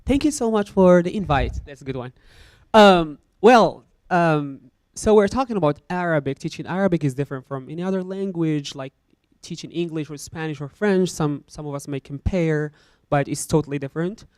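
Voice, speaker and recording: Smooth-voiced man explaining at a panel. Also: on the high side, moderate pace, a little mellow, no echo, studio quality. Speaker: Arabic teacher answering as panel guest. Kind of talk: panel discussion answer.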